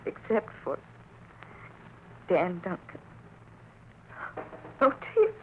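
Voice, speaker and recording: A woman's voice: on the low side, huge pitch swings, extremely slow, phone-quality sound, heavily acted. An actress playing a frightened, worried woman in a 1940s radio drama, anxious and melodramatic. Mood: subdued, shaken. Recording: noisy vintage 1940s radio recording.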